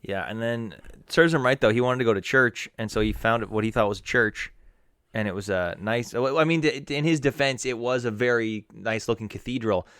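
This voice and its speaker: A man's voice: medium pitch, brisk, a bit bright, smooth, no room sound, clean studio sound. A man co-hosting a casual podcast while feeling sick, telling rambling, profane, self-mocking stories. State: ill, under the weather. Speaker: podcast co-host. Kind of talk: casual chat.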